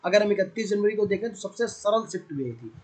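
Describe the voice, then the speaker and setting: High-pitched North Indian man teaching informally. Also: lively intonation, fast, a little mellow, low-quality recording. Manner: conversational, repeatedly checking in with listeners. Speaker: exam coaching teacher. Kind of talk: informal explanatory lesson.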